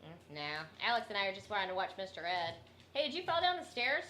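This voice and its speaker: A woman playing a deadpan, unsympathetic co-worker in a comedy radio sketch. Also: mid-range voice, quick pace, a little hiss or hum.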